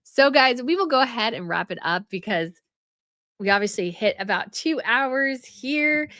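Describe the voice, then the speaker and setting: A woman's voice: fairly high pitch, animated, moderate pace, bright, forceful tone, no echo, studio quality. A young woman hosting a casual live stream, chatting and answering viewer comments with many fillers and restarts. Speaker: host of a royal news live stream.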